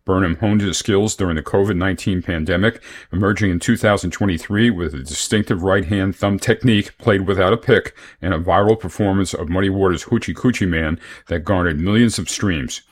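A man's voice: low voice, a little fast, hardly any pauses. A man hosting a radio music show, reading album notes and reviews from a script.

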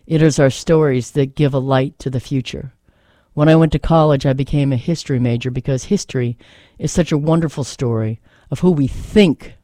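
A man's voice: medium pitch, warm tone, dry recording, studio quality. A man hosting in a reflective, casual way, talking and reading poetry aloud, repeating phrases for emphasis. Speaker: host reading poems aloud.

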